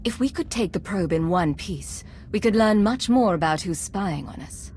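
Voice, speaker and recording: A woman playing a cool, composed agent and commander in a video game, speaking in a crisp, businesslike, controlled way. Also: medium pitch, lively intonation, normal speed, darkish tone, a little hiss or hum, close to an everyday voice. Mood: reasoning. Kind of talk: video game cutscene acting.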